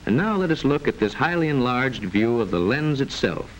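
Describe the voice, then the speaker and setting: A man narrating a mid-century educational film in a formal, measured, didactic way with precise enunciation, on an old film soundtrack. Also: medium pitch, big pitch swings, slight background noise, poor audio.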